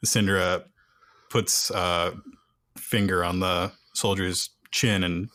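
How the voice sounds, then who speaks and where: A man's voice: deep voice, fairly even intonation, slow pace, bright, forceful tone, dry recording, clean studio sound. A man playing a tabletop game, chatting casually and narrating what his character does.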